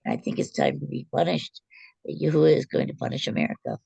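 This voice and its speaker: A woman whose voice is going hoarse, speaking earnestly in a casual study group and searching for words. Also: sits low, huge pitch swings, fast, mellow, no room sound. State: hoarse, losing her voice.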